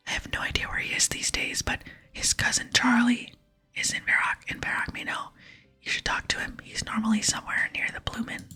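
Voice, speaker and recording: A woman voicing a boastful, scheming female archaeologist from a game in a breathy whisper. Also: on the high side, pushed and bright, voice pushed up, full character voice. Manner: self-important, brisk. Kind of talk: whispered ASMR reading of game dialogue.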